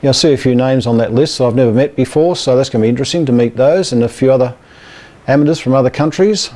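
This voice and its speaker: Mellow, softly dark-voiced Australian man. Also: medium pitch, quick pace. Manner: hesitant, rambling, informal. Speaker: amateur radio and television club presenter. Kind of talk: casual update to camera.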